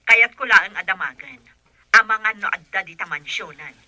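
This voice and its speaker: A woman's voice: medium pitch, moderate pace, pushed and bright, no echo. An actress playing a bitter, accusing rich man's wife in a radio drama. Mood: needling.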